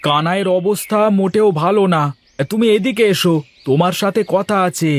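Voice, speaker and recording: A man's voice: high voice, fairly quick, lightly acted. A man voicing a cunning, pushy village trickster in a cartoon moral story. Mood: threatening.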